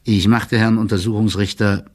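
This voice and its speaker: A man playing an older, loyal court clerk who delivers a confidential report in a formal, measured and officious manner, as in an audiobook drama. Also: lowish voice, little pitch movement, lightly acted. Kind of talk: dramatised first-person reading of a formal written report.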